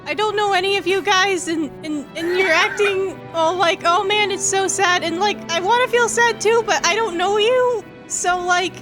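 A woman's voice: moderate pace, hardly any pauses. A woman voicing a young male hedgehog in a comedy game fan dub, wailing and melodramatic, with game music behind. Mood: awkward. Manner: melodramatic, high squeaky wailing. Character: Silver, young male hedgehog, whiny and dramatic.

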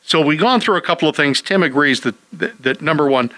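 A man with a fairly high, bright and forceful voice hosts a call-in radio show about homes. He chats casually and throws in wisecracks, and his voice sounds dry and close to the mic. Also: expressive, a little fast, no echo. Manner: joking, wisecracking.

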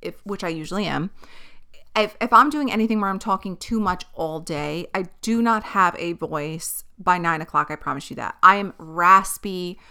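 A woman's voice: on the low side, moderate pace, vocal fry, bright, forceful tone, clear, resonant tone. A woman in her thirties hosting a podcast, chatting casually and giving her opinions, with many tangents. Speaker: podcast host. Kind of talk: casual chat.

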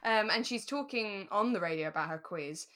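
A young British woman co-hosting a podcast in relaxed, reflective, conversational chat. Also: medium pitch, moderate pace. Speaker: podcast co-host. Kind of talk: relaxed chat reviewing a radio drama.

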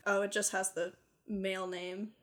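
A woman's voice: medium pitch, level tone, normal speed. A young woman co-hosting a podcast, chatting casually with lots of fillers and frequent giggles. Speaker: podcast co-host. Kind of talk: casual chat.

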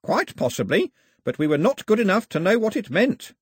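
A man's voice: high-pitched, sing-song, fairly quick, slightly rough, dry recording, studio quality, pitched above the performer's own voice, heavily acted. A man voicing an old toothless priest in a storybook, pious and sly, with a teasing, declamatory manner. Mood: solemn. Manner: declamatory, teasing, grandly superstitious.

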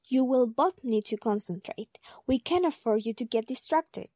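An actress playing a composed, thoughtful advisor in an audio drama, measured and matter-of-fact. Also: female voice, on the high side, lively intonation, dark tone, no echo, clean studio sound. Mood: composed, advising.

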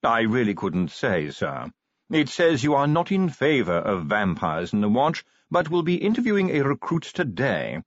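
Guy doing a very proper tactful butler in an audiobook, measured n polite, swoopy pitch, bit muffled. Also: very animated, no echo. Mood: cautious, tactful. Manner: formal, measured, cautiously polite. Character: deferential, unflappable, tactful male butler. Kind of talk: audiobook character voice.

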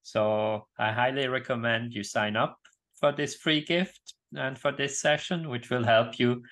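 A man's voice: medium pitch, steady tone, a little slow, fairly bright tone. A man hosting an interview, politely closing it and reading out details of free offers. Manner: polite, formal, reads out details. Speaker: interviewer and event organizer.

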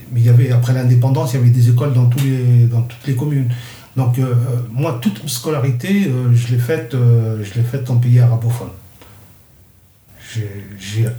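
A man's voice: medium pitch, moderate pace, mellow, roomy sound. Hesitant Algerian-born man in his sixties. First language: Kabyle. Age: 60s. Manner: hesitant, many fillers and restarts. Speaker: interviewee, the host's father. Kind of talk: casual interview.

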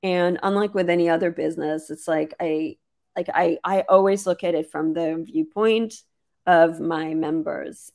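A hoarse woman with a lowish, gravelly voice, talking casually as a guest in a webinar. She rambles a little, with lots of fillers and quick restarts. She sounds like she is losing her voice. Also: a little mellow. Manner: chatty, many "like" fillers and restarts. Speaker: community founder and guest.

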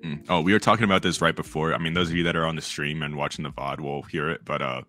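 A man co-hosting a casual podcast, with a very deep voice, a fairly monotone delivery and frequent laughter. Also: fast, soft background music. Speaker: podcast co-host. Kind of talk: casual chat.

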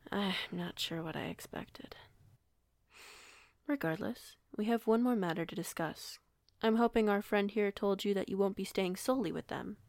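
A woman playing a composed, kindly werewolf pack alpha in an audio roleplay, speaking in a formal, measured, authoritative way. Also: medium pitch, no echo. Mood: disapproving.

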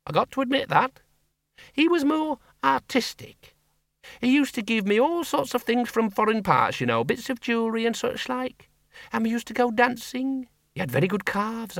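A man voicing a sharp-tongued older spinster who runs a farm, talking bluntly in country speech. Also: extremely high pitch, sing-song, gravelly, no echo, clean studio sound, voice pushed up, exaggerated voice. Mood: wistful. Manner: brisk, blunt country talk. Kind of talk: audiobook character voice.

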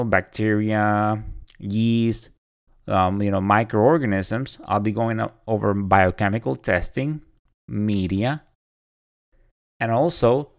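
A man from Puerto Rico hosting a podcast, talking conversationally with frequent fillers and restarts. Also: lowish voice. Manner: conversational, many "you know" fillers and restarts. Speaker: podcast host. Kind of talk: casual solo podcast introduction.

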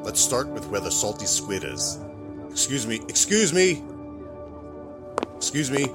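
An actor playing an excitable, wonderstruck male traveler in an audio drama, with an eager, gushing manner. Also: mid-range voice, unhurried, over music. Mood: eager, calling out. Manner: exclamatory, gushing.

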